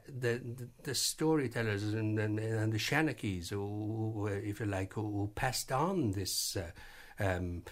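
Low voiced guy, halting, lots of ums and restarts, interview guest. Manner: halting, many fillers and restarts. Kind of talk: reflective interview talk.

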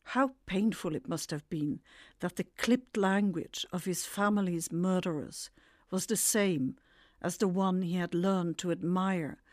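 An older woman from the Netherlands, a native Dutch speaker, reading a personal memoir in a measured, reflective way. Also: fairly low pitch, no echo, studio quality. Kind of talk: scripted personal memoir narration.

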